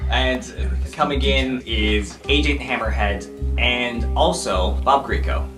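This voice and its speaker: A man's voice: medium pitch, takes it slowly. A man acting as game master, improvising narration for a tabletop roleplaying game. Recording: roomy sound, music bed underneath. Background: music.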